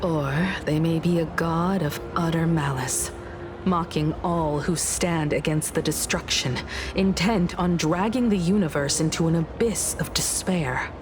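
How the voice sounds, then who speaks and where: A woman voicing a composed, scholarly woman in an anime-style game, speaking with solemn, measured eloquence. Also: low voice, music in the background. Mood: grave. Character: composed, scholarly woman archivist, grave and analytical.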